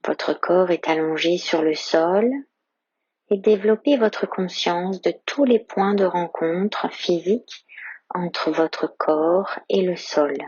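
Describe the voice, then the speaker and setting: Woman, yoga teacher, guided meditation, slow, breathy, low-ish voice, dry close mic. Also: no echo, dull audio. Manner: slow, soft-spoken guidance with whispered phrases.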